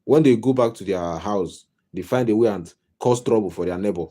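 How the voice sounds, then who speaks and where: A man's voice: fairly low pitch, moderate pace, warm tone. A man with a Nigerian accent giving a casual live-stream monologue as a life coach, rambling and repeating himself with wry humor. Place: home.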